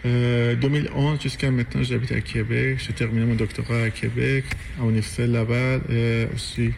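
Gravelly man, hesitant. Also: mid-range voice, level tone, a little fast. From Iran. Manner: hesitant, searching for words, nervous laughter. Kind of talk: casual interview. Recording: noticeable background noise.

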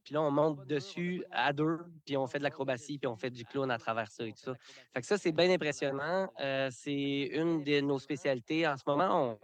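A man's voice: medium pitch, moderate pace. Man, chatty circus artist. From Canada. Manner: relaxed, repeats words, many fillers. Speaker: circus performer. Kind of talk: radio interview, casual.

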